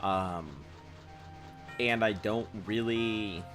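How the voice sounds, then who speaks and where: A man with a baritone voice chatting casually with viewers on a live game stream, in a loose, rambling way. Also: steady tone, very slow, music in the background. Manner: rambling, loose, chuckling at chat.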